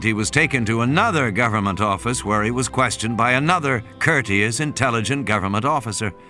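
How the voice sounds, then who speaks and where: Guy narrating an audiobook, bright forceful voice, lots of up and down, music under it. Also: medium pitch. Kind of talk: dramatic scripted audiobook narration. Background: music and sound effects.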